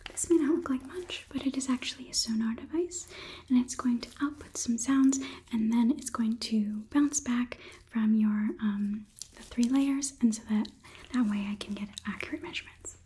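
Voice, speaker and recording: A young woman playing a polite, condescending robot overlord in a soft, breathy whispered ASMR roleplay, clinical and curious. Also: on the high side, moderate pace, a little hiss or hum, close to an everyday voice. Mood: clinical, instructive. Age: 20s. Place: small indoor studio set. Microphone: close ASMR microphone.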